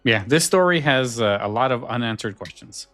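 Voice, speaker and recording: A man hosting a podcast in casual chat, halting a little and correcting himself as he goes. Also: mid-range voice, animated, bright, forceful tone, no echo, faint music behind. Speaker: podcast host. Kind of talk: casual chat and show sign-off.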